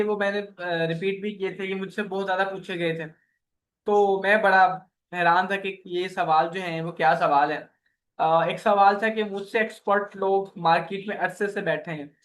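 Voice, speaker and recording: A man's voice: high voice, fairly bright tone. A man leading a motivational workshop on earning online, talking earnestly like a preacher and often checking in with "okay". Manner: voices the listeners' questions and prayers.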